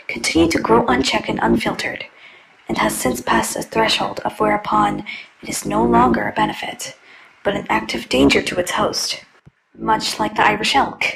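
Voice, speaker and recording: Woman voicing a gravelly, sardonic nihilist robot schoolgirl. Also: medium pitch, background noise. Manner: brash, sardonic, turning to bleak monologue. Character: sarcastic, foul-mouthed, nihilistic robot schoolgirl. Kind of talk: fan dub voice acting of a video game character.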